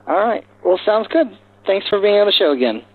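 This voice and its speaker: A man's voice: sits high, expressive, fairly quick, narrow, tinny audio. A man speaking as a podcast host, interviewing guests in a conversational way.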